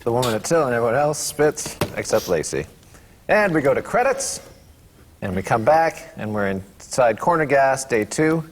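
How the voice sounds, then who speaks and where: A man's voice: mid-range voice, very animated, moderate pace, in a large hall. A man reading script directions aloud at a table read. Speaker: stage-direction reader.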